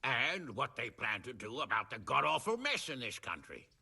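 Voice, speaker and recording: A gravelly, blunt medieval lord. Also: male voice, medium pitch, huge pitch swings, moderate pace, pushed and bright, full character voice. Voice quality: gravelly, rough. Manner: blunt, emphatic. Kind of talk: video game cutscene acting.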